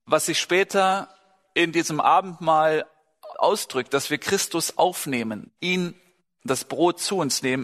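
A man's voice: a little high, a bit bright. A man preaching to a congregation in a conversational, questioning way. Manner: conversational, asks listeners questions, acts out short dialogues. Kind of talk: sermon to a congregation. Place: large hall, speaking to a gathering. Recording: large hall with long reverb.

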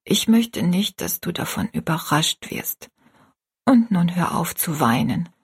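A woman voicing a gravely ill, gentle and pious mother in a story, half-whispered, slow and earnest. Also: on the low side, very animated, exaggerated voice. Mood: sad, grave.

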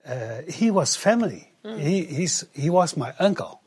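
Husky man, halting. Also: on the high side, animated, darkish tone. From Netherlands. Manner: halting, repeats words, many fillers. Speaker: guest, travel coordinator. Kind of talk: interview testimony in English, paused for interpreting.

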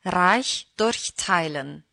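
Slow, low-pitched woman radio announcer. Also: animated, creaky, sharp tone. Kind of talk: scripted radio intro.

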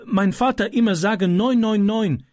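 A man voicing an excited young boy who speaks in short, broken phrases during an emergency. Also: high-pitched, unhurried, no echo, clean studio sound, pitched above the performer's own voice. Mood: urgent. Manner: clipped, ungrammatical phrasing.

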